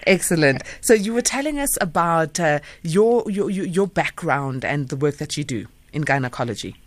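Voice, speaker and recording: A low-pitched woman speaks close to a studio mic in a dry, dead room. She hosts a late-night radio show, leading her guest with questions and many small fillers. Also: expressive, moderate pace, no echo. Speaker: radio host. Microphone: studio microphone.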